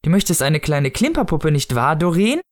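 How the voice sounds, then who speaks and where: A man's voice: on the high side, big pitch swings, normal speed, pitched above the performer's own voice. A man reading a storybook as a fussy, protective mother. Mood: cooing. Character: fussy, conspiratorial, protective mother of small child.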